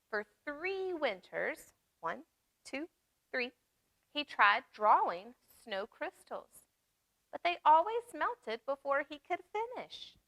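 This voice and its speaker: A woman reads a children's story aloud in a high, bright voice. She takes her time and speaks expressively, often addressing young listeners with simple questions and explanations. The sound is dry and close. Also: dry recording, poor audio. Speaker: children's library storytime reader.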